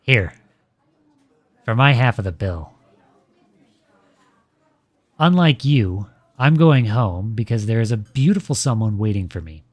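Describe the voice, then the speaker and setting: A man playing a protective boyfriend in a scripted audio roleplay, with measured, formal, pointed phrasing, polite but cutting. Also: fairly low pitch, expressive, no echo. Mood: cold, resolute.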